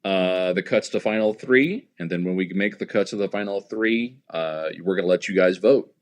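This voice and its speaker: An American man in his thirties, a stadium announcer, talking casually as an interview guest and explaining things in a halting, conversational way. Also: deep voice. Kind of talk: casual interview talk, anecdotal.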